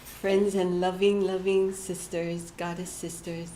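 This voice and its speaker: Woman giving a gentle little church message, slow and halting, roomy hall, faint hum behind. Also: on the low side, level tone. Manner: gentle, halting, often laughs at herself. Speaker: church speaker. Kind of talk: short inspirational church message. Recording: echoey hall with faint room noise.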